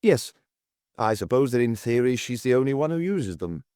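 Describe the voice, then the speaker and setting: A man's voice: medium pitch, very animated, normal speed, dark tone, clear, resonant tone, no echo, clean studio sound, close to an everyday voice. A man voicing a diligent police officer who reports in a methodical, detailed way, in an audiobook.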